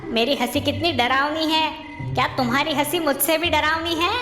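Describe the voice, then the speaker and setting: A woman's voice: high-pitched, steady tone, normal speed, over music, natural voice. A woman voicing a boastful but cowardly, gullible male ghost in a cartoon, stammering and drawling when rattled. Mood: boastful, nervous. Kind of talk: exaggerated Hindi cartoon acting.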